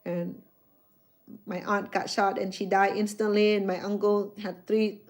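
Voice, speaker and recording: A middle-aged woman speaking English with a noticeable Cambodian accent, talking loosely to her live-stream audience. She stumbles and repeats words as she restarts her thoughts, in an easy, chatty way. Her voice sits at an ordinary middle pitch for a woman. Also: flat delivery, a little slow, darkish tone. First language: Khmer. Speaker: chef hosting a live stream. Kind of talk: casual live-stream chat with viewers.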